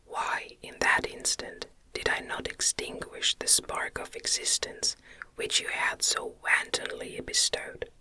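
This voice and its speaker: A woman reads a gothic novel aloud in a breathy, unvoiced ASMR whisper, with gentle, deliberate phrasing. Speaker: audiobook reader.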